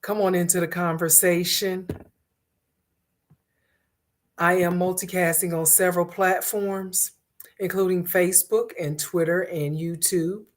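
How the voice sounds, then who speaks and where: Hoarse woman host, lower voice. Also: fairly even intonation, normal speed. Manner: conversational, with frequent fillers and restarts. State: hoarse from a cold. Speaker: host of an online commentary show. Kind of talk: casual commentary to viewers.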